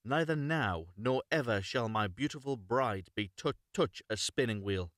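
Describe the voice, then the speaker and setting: A man's voice: medium pitch, animated, a little slow, fairly bright tone, dry recording, clean studio sound. A man playing a young fairy-tale prince, a curious and appalled bridegroom. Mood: alarmed.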